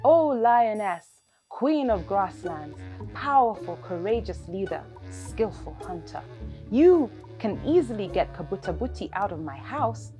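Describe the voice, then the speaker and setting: A woman voicing a small, distressed male rabbit who pleads with drawn-out flattery in a children's story. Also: mid-range voice, soft background music. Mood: pleading.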